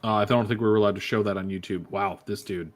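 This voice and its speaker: A man's voice: fairly low pitch, fairly even intonation. A man hosting a casual podcast live stream, joking and teasing his co-hosts. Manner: jokey, quick laughs, teasing. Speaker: podcast host.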